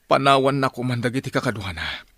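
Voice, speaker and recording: A man's voice: mid-range voice, animated, quick pace, fairly bright tone, no echo. A man with an Ilocano accent playing a devout villager praying earnestly in a radio drama. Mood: pleading, prayerful. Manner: prayerful, pleading.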